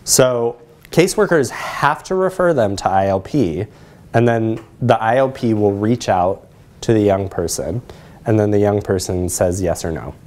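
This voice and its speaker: A man's voice: fairly low pitch, unhurried, a little mellow. A man around forty with an American accent, explaining things as a presenter taking questions in a lecture room.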